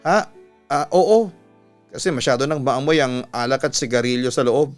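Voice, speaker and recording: A man's voice: medium pitch, moderate pace, soft background music, lightly acted. A man with a Filipino accent voicing a courteous, flirtatious man in his thirties in a story. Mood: sheepish.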